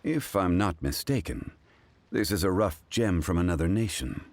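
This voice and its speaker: An actor playing a calm, erudite gentleman connoisseur in a video game, speaking in a measured, refined, formal way. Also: sits low, no room sound. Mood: calm, assured.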